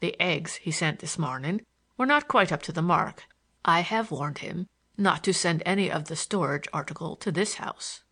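Woman reading as a deferential, measured manservant. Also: on the low side, animated, sharp tone, husky, full character voice. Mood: formal, composed. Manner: formal, measured, obsequious. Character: deferential, sly, imperturbable manservant.

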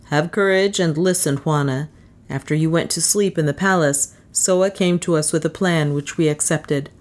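A woman's voice: sits low, normal speed, close to an everyday voice. A woman reading an audiobook as a solemn, proud man with a grave, formal manner. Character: solemn, proud adventurer man, protective but stern. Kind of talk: dramatised audiobook dialogue.

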